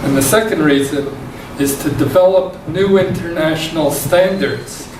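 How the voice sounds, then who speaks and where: A soft-spoken man giving a measured public lecture. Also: a little high. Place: lecture hall. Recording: echoey hall, far from mic, noisy.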